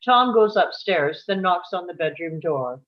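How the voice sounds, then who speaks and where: A woman's voice: on the low side, expressive, measured pace, lo-fi sound. A woman reading stage directions as scripted narration at a table read.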